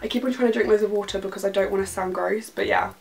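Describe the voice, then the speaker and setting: Gushing young British woman, a bit croaky. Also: mid-range voice, steady tone, quick pace. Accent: British English. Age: young adult. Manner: gushing, enthusiastic, repeats words for emphasis. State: slightly croaky from illness. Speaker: beauty vlogger. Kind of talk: casual product review to camera. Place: bedroom, indoors.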